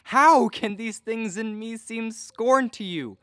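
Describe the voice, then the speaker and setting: A woman's voice: on the high side, slow, fairly bright tone, no echo, studio quality. A woman playing an earnest, lovesick young male suitor in a Shakespeare audio drama, pleading in verse.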